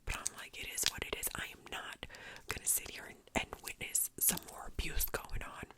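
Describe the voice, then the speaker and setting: A woman from New York whispering chatty neighborhood gossip in ASMR style, with casual swearing. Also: brisk. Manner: whispering throughout, chatty, swearing, animated retelling. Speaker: vlogger telling neighborhood gossip.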